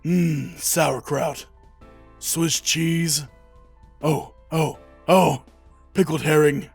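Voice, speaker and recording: Man doing a high, husky, slow glutton. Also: steady tone, faint music behind, full character voice. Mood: savouring, moaning. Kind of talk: comic book audio drama acting.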